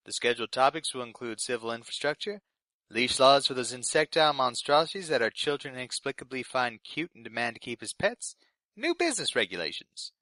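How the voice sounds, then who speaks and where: A man's voice: medium pitch, bright, forceful tone, dry recording. A man playing a nervous, eager young intern presenting community radio news in a polite, earnest way for a scripted fiction podcast.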